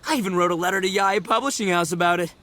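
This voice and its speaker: A man's voice: high voice, racing, bright, forceful tone, slight background noise. A man voicing a brash, boastful but good-hearted young gang leader in an anime game, swaggering and slangy. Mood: proud, chatty.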